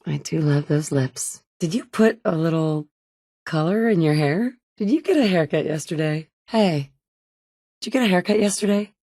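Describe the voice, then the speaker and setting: A woman's voice: deep voice, animated, normal speed, dark tone, no echo. A woman playing a determined young mother surviving in a wasteland, with earnest, dry, conversational delivery in video game dialogue. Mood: warm, teasing. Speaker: video game player character.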